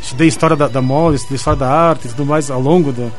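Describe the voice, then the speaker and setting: A man with a Curitiba accent, a guest illustrator answering radio interview questions in quick, eager bursts full of restarts. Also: medium pitch, fairly even intonation, soft background music. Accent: Curitiba Brazilian Portuguese. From Curitiba, Brazil. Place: radio studio.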